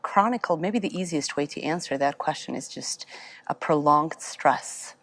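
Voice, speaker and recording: A woman from Serbia, a therapist answering interview questions, halting and searching for words. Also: sits low. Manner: speaks with a foreign accent, halting, searching for words. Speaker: therapist guest.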